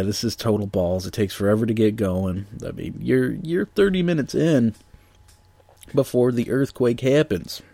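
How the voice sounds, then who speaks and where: Sniffly low-voiced man ranting casually. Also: creaky, dark tone, no echo. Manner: profane, blunt, lots of ums and uhs. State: sniffly and nasal from allergies. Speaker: podcast host reviewing movies. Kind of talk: casual rambling review.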